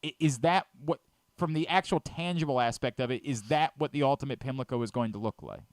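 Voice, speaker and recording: A man's voice: medium pitch, a little fast, no echo, clean studio sound. A man hosting a sports talk radio show, asking wordy, conversational questions.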